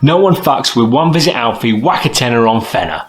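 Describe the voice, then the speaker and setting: Male actor as young homeless chancer, cocky, combative, curt slangy speech, middling pitch, audio drama. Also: lively intonation, fairly quick, natural voice. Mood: cocky, hostile. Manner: slangy, swearing, curt delivery.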